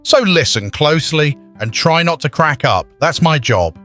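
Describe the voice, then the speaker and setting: A man's voice: fairly high pitch, animated, sharp tone, soft background music. A man voicing a pompous talking marble statue, lecturing theatrically in a comic puppet show. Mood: pompous, teasing. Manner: pompous, theatrical, deliberate delivery. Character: pompous talking marble statue, self-proclaimed judging educator. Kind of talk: scripted comic educational narration for puppet show.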